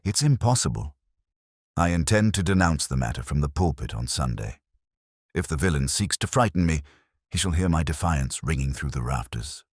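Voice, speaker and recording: A man puts on an indignant vicar for a storybook mystery. He is pompous and defiant, declaiming in a very deep, animated voice. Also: normal speed, slightly rough, no echo, clean studio sound, full character voice. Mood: huffy defiance. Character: indignant, pompous male clergyman, defiant and huffy.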